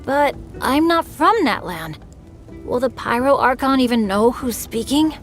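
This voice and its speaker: Earnest, high-voiced teenage boy adventurer. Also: expressive, a little slow, soft background music. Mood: earnest, wistful. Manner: earnest, bashful, chatty. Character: earnest, good-natured teenage boy adventurer, humble and upbeat. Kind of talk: anime-style game voice acting.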